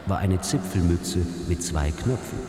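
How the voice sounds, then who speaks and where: A man narrating absurd short tales for a radio drama in a theatrical, expressive way. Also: deep voice, measured pace, slightly rough, no echo. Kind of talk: scripted radio storytelling.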